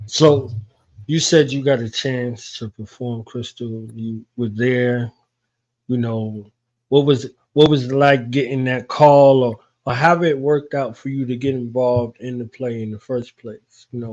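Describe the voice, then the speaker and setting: A man's voice: mid-range voice, steady tone, unhurried, darkish tone. A man hosting a radio show, asking questions in a casual, conversational way. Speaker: radio show host, interviewer. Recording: dull, lo-fi sound.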